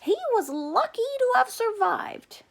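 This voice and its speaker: A woman's voice: extremely high pitch, expressive, measured pace, creaky, pitched above the performer's own voice, exaggerated voice. A woman voicing an insistently upbeat mother in a storybook, with emphatic delivery. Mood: insistently hopeful.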